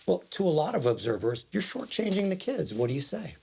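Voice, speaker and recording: A woman's voice: fairly low pitch, big pitch swings, fairly quick, darkish tone, a little hiss or hum. A woman interviewer putting questions and explaining points.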